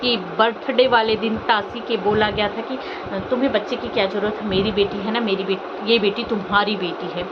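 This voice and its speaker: Woman vlogger over loud background noise. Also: mid-range voice, steady tone, moderate pace. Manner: emotive, rhetorical questions and repetition. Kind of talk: informal opinionated commentary to viewers. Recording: dull lo-fi audio, loud vehicle noise.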